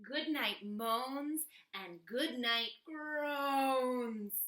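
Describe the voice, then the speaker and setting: A woman teacher reading a picture book aloud to children in an expressive, encouraging way. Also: sits high, drawn-out words. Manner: expressive, encouraging, asks the listener questions. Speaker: elementary school teacher. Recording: echoey room.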